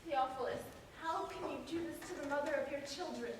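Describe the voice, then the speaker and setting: Young woman acting distraught high-voiced defiant mother, echoey stage. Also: a little fast, lightly acted. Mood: distressed, pleading. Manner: theatrical, emphatic. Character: distraught defiant mother wrongly committed to an asylum. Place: auditorium stage, recorded from far off. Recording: echoey stage, far mic, faint hiss.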